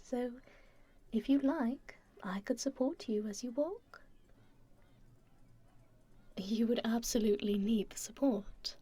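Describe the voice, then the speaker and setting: A woman playing a kind, teasing stranger in an intimate ASMR roleplay, speaking gently and unhurriedly in a breathy, whispery voice. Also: a little high. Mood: playfully teasing. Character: kind, teasing female stranger caring for a festival-goer.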